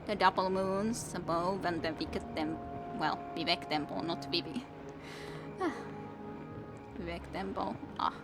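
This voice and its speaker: A woman's voice: fairly low pitch, a little slow. Tired young woman streamer, worn voice. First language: not English. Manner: soft, musing, self-talking. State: tired, voice worn from long reading. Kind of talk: casual gameplay chat with viewers. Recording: game music in background.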